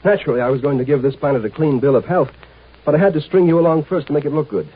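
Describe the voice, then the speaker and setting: An actor playing a shrewd, condescending native agent posing as an imperial marshal, in a 1950s radio drama. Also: male voice, fairly high pitch, very fast, breathy edge. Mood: smug, explaining. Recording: muffled, tinny 1950s radio recording.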